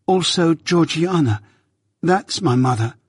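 A man's voice: on the high side, expressive, slow pace, breathy edge, no echo, clean studio sound, voice pushed up, heavily acted. A man voicing a small frightened boy who answers timidly and pleads.

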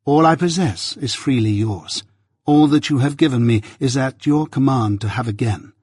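A man voicing a proud, self-possessed young adopted daughter in a novel reading, speaking with composed, measured, unyielding delivery. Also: medium pitch, husky, no room sound, clean studio sound.

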